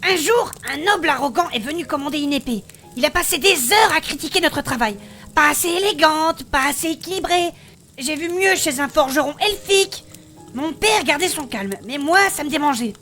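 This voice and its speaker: A woman playing a spirited female blacksmith adventurer, recounting her past in animated, colloquial in a fantasy audio drama. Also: sits high, moderate pace. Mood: lively storytelling. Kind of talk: French fantasy audio fiction acting.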